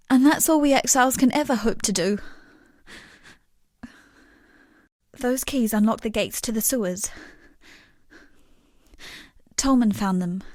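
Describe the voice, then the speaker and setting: A dry, close recording of an actress as a young exile woman in a dramatic fantasy game. Her voice sits fairly high, and she speaks with guarded, earnest grief. The delivery is subdued and halting. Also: a little mellow, no echo, natural voice. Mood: quiet, earnest. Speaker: game non-player character. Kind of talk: dramatic video game voice acting.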